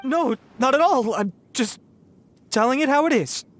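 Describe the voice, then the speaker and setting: A cowardly young bandit in a game who was caught red-handed. He talks in a flustered ramble, his pitch rising and falling a lot as he stumbles through his words. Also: animated, moderate pace, faint music behind. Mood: sheepish. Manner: flustered, pleading, rambling with fillers. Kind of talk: game voice acting.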